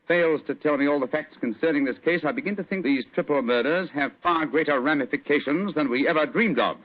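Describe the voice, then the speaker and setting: An actor playing an assured English detective in a radio drama, clipped, articulate and authoritative. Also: male voice, on the high side, brisk, lightly acted. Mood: assured, explaining. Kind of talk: old-time radio drama acting. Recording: tinny, noisy old radio recording.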